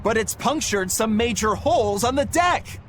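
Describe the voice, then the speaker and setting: A man playing an excitable, fretful young pirate crewman in a video game. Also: high-pitched, normal speed, noisy background. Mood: worried. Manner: hesitant, complaining, excitable.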